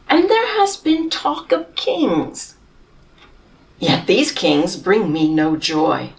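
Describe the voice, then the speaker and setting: Pompous whiner of a male camel, read by a woman from a storybook, slow, lively pitch, mic at a distance. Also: exaggerated voice. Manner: grandiose, whining, theatrical complaints. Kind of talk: storybook reading.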